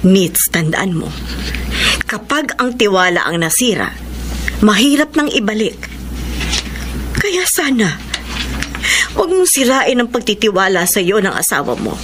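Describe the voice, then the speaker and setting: A woman's voice: medium pitch, big pitch swings, measured pace, pauses often. A stern widowed mother in an old-style radio drama. Her voice swings widely as she admonishes. Background noise runs under the broadcast. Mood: scolding. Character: widowed mother-in-law, stern but caring. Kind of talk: classic radio drama acting.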